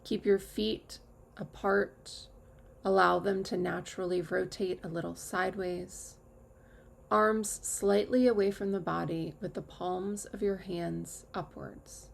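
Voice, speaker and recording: A woman teacher leading a guided meditation with calm, measured instructions and long pauses. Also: fairly low pitch, creaky. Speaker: yoga nidra teacher.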